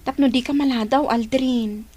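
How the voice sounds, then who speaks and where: A woman's voice: high voice, steady tone, moderate pace, lightly acted. A woman playing a whiny, clinging young girlfriend in an Ilocano audio drama, with a plaintive delivery. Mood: yielding. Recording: clear background noise, clicks and birdsong.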